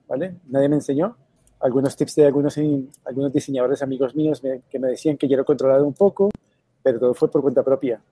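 Man in his thirties, interview guest, mellow relaxed voice, dry close sound. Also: mid-range voice, dry recording. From Colombia. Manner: relaxed, storytelling, swears casually. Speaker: guest, web designer. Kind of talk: casual interview.

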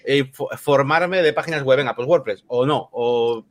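A man's voice: mid-range voice, normal speed. A man co-hosting a casual interview, asking loose, rambling questions full of fillers. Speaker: podcast co-host.

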